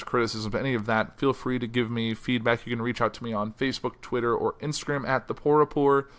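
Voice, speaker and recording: Male podcast host, quick and bright, a bit hoarse, casual news reader. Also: mid-range voice. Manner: reads quotes in a flat newsy voice, then chats loosely with fillers. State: losing his voice. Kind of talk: solo news podcast, reading articles aloud. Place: house.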